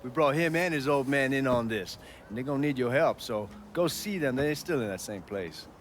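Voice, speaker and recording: An actor playing a jovial, cocky gangster sidekick in a video game, breezy, informal and teasing. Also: male voice, medium pitch, expressive, normal speed, a little hiss or hum, close to an everyday voice. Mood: confident, casual. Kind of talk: cinematic video game dialogue.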